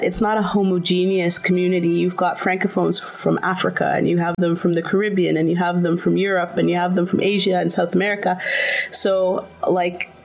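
A middle-aged woman whose first language is Finnish, giving thoughtful interview answers with plenty of fillers and restarts. Her voice sits fairly low and has a gravelly, creaky edge. Music plays clearly underneath her. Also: low-quality recording.

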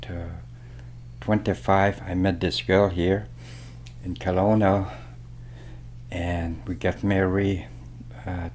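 A man's voice: sits low, a little mellow, slight background noise. A man in his seventies from Quebec, a native French speaker, telling his life story in a rambling way with small laughs. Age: 70s. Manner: rambling, laughs between phrases. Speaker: interviewee. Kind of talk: casual storytelling.

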